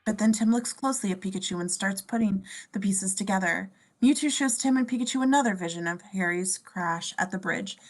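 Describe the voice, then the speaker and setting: Woman narrator reading stage directions, medium pitch. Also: a little fast, few pauses. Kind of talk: scripted narration for a table reading.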